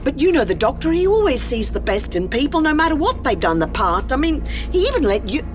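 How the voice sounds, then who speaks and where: Feisty, high-voiced young time traveller. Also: female voice, very animated, quick pace, over music. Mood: suspicious. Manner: blunt, sarcastic, agitated. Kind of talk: science fiction audio drama.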